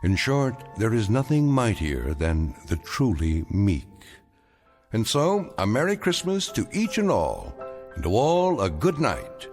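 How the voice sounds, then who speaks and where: An actor as the measured, oratorical, solemn and wry narrator of a radio drama, over music. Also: male voice, lowish voice, very animated, darkish tone. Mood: solemn, benevolent. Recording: music behind the voice.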